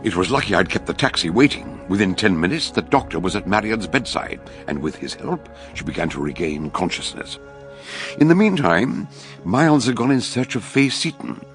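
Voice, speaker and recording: An actor playing a shrewd, courteous older British detective in a radio drama, speaking in a ponderous, theatrical way. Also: male voice, lowish voice, sing-song, normal speed, a bit bright, faint music behind. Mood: grave storytelling. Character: shrewd, grave, genial older male detective. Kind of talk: radio drama acting and narration.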